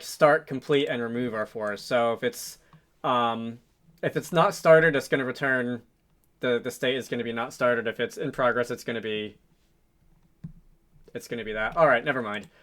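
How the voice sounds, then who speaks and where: Bright-voiced man thinking aloud, casual tutorial. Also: medium pitch. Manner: informal, musing to himself. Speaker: host of a game development tutorial series.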